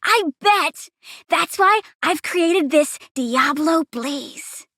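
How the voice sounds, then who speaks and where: A woman's voice: high-pitched, lively intonation, extremely slow, bright, forceful tone, no echo, clean studio sound, heavily acted. A woman playing a childlike, bratty young plant-girl villain in a video game, perky, blunt and gleefully bloodthirsty. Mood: bratty, taunting. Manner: petulant, perky, blunt, sassy. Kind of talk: video game character voice lines.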